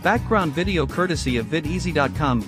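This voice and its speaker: Higher-pitched male announcer over music. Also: lively intonation, fairly quick. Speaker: announcer reading closing credits. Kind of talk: scripted narration.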